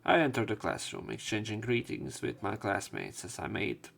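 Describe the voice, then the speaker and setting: A man narrating a game let's play, reading dialogue aloud with casual, halting commentary. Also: on the low side, very animated, creaky, fairly bright tone.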